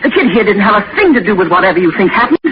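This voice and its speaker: A woman's voice: medium pitch, very animated, very fast, lightly acted. An actress playing a brassy, slangy woman crook in a 1940s radio drama. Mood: brassy, insistent. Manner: slangy, tough-talking. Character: brassy, hard-boiled woman crook, loyal gangster's moll. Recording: muffled, noisy old radio broadcast.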